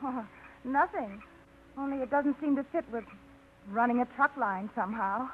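An actress playing an eager small-town woman in a 1950s radio drama, bright and a little flustered. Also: a little high, moderate pace, lightly acted. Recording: tinny, hissy 1950s radio broadcast.

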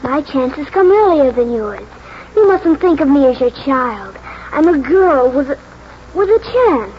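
A woman's voice: sits high, big pitch swings, measured pace, a little hiss or hum. A woman playing an eager, earnest young horse-loving girl in a 1940s radio drama, speaking breathlessly. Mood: fervent, determined. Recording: muffled old 1940s radio broadcast, music.

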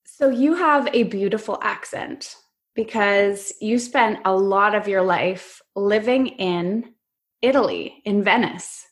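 A woman's voice: mid-range voice, takes it slowly. A woman hosting a podcast interview, bubbly and playfully teasing her guest. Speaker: podcast host.

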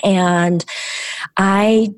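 A woman from the Chicago area, whose first language was Korean, telling her life story as a podcast guest in a measured, reflective way with pauses and restarts. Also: mid-range voice, fairly even intonation, no echo. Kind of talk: personal storytelling in a podcast interview.

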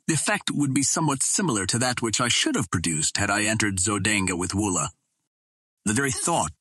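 A man reading an adventure novel aloud as a storyteller, in a measured, formal way. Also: fairly low pitch, a bit bright, slightly rough, dry recording. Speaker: audiobook reader. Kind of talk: scripted literary narration of an adventure novel.

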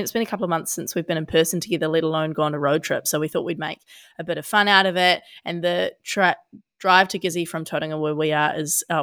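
A young woman from Australia chats on a podcast, her voice husky and a little deep from a head cold. She talks in a loose, rambling way, full of fillers and easy laughs. The recording is dry and close. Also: a bit bright, no room sound. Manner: chatty, laughs a lot, many fillers like "hey". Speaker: podcast co-host. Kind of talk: casual chat.

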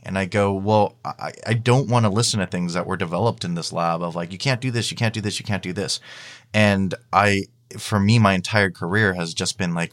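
A man's voice: deep voice, fairly bright tone. A man speaking informally as an interview guest, explaining his thoughts and acting out imagined quotes as he goes. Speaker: guest, podcast creator. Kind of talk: casual interview chat.

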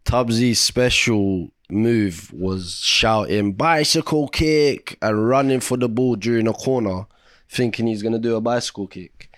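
A young man from Iraq with a London accent, telling stories in an animated, joking podcast chat. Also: mid-range voice, measured pace, no room sound, clean studio sound. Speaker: podcast co-host. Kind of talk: casual chat.

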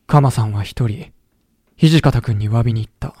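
A man acting a loyal deputy leader who narrates a historical war audio drama in a deliberate storytelling delivery. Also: medium pitch, mellow, no room sound, clean studio sound, lightly acted. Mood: sombre narration. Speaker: narrator and character.

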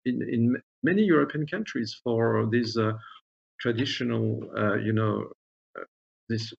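A man with a French accent speaks in a husky, creaky voice, recorded with dull, lo-fi sound. He gives formal, diplomatic answers, pausing and repeating words as he searches for the right phrase. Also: medium pitch, fairly bright tone. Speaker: diplomat being interviewed. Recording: dull, lo-fi audio.

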